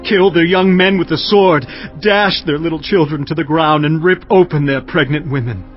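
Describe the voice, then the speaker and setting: A man voices a solemn prophet delivering grave pronouncements in a scripture audio drama. His voice is somewhat high for a man. The recording is a bit dull, with soft music underneath. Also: moderate pace, close to an everyday voice. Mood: grave, foreboding.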